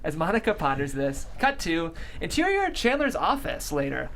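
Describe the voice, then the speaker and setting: Woman narrator, lively pitch swings. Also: normal speed, slight background noise. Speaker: narrator reading stage directions. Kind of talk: scripted narration at table read.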